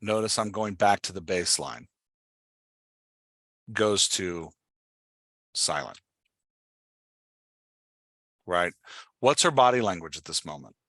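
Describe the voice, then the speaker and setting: A man's voice: sits low, expressive, slow pace, pauses often, fairly bright tone, no echo, studio quality. A man coaching someone in a casual one-on-one talk, instructive and prodding with questions. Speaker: podcast host and dialogue writing coach.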